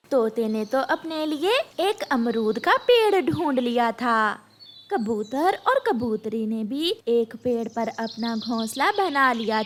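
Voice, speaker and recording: A woman's voice: on the high side, a little hiss or hum. A woman narrating a children's cartoon story in a scripted storybook style. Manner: slow, expressive.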